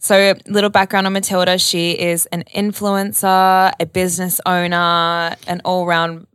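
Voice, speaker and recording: A woman's voice: fairly low pitch, flat delivery, moderate pace, a bit bright, clean tone, no room sound, studio quality. A young woman co-hosting a podcast, gushing and enthusiastic, talking casually with lots of "like". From Australia. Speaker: podcast co-host. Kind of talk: casual chat.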